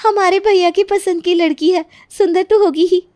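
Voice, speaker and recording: A woman's voice: very high-pitched, fairly even intonation, moderate pace, darkish tone, no echo, studio quality, voice pushed up. A woman voicing an outspoken, indignant young sister-in-law in a cartoon family story. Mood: cheerful, teasing.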